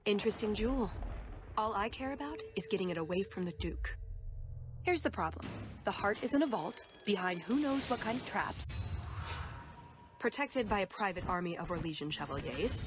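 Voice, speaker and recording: A woman's voice: mid-range voice, expressive, normal speed, pauses often, lightly acted. A woman playing a confident, wry elven assassin-thief in a video game cutscene, teasing and coolly self-assured. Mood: composed, persuasive.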